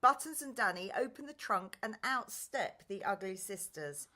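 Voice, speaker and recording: A woman's voice: mid-range voice, expressive, moderate pace, bright, forceful tone. A woman reading scripted narration and stage directions for a pantomime radio play.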